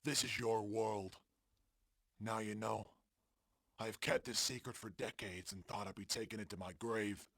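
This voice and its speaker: A man playing a sombre former mercenary, delivering a measured, solemn confession in an audio drama. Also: lowish voice, steady tone, gravelly, fairly bright tone, no room sound, studio quality. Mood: grave, confessional. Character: sombre male ex-mercenary confessing on a recorded journal. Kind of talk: scripted audio drama monologue.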